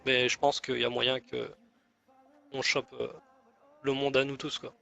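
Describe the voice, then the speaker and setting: Through muffled game voice chat comes a man roleplaying a deferential gang negotiator. He rambles hesitantly and keeps a conciliatory tone, in a medium-pitched voice. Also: level tone, a little slow, gravelly, bright, forceful tone. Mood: earnest, conciliatory. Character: deferential male gang negotiator offering an alliance. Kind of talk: improvised online roleplay dialogue.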